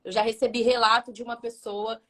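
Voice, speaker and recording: Woman, high voice, rapid talk, interview host. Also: little pitch movement, a bit bright. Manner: quick, engaged commentary. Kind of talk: casual interview.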